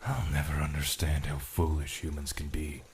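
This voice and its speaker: An actor playing a blunt, formal non-human attendant in an audio drama, dry and scornful of humans. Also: male voice, rumbling low, level tone, moderate pace. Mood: dry suspicion.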